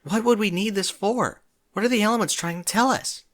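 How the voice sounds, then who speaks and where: Man voicing high, urgent female unicorn. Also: fairly quick, a bit bright. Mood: anxious. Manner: urgent, commanding. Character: earnest, panicky, bossy young female unicorn pony.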